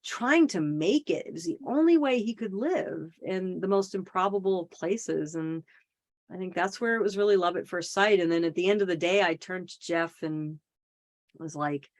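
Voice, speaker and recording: A middle-aged woman with a slightly low voice, a podcast guest telling a story. She talks in a loose, chatty way, full of fillers and restarts, with dry jokes at her own expense. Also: normal speed. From Boston area, Massachusetts. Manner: chatty storyteller, self-deprecating humor, frequent fillers. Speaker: podcast guest, wildlife advocate. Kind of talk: casual conversational interview.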